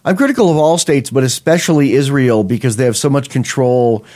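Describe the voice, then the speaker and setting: A man's voice: mid-range voice, brisk, a little mellow. A man co-hosting a political talk show, chatting casually in a rambling way and often restarting his sentences.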